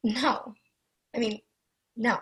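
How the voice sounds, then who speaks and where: A woman's voice: a little high, little pitch movement, slow pace, fairly bright tone, slightly rough, exaggerated voice. A woman playing a jaded, defensive, wealthy middle-aged client with a dry, guarded manner in a naturalistic screenplay reading. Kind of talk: screenplay table read, naturalistic acting.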